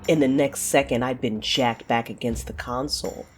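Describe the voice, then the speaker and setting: A woman narrating an audio drama in the first person, with a deliberate, theatrical delivery. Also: extremely low pitch, steady tone, faint music behind.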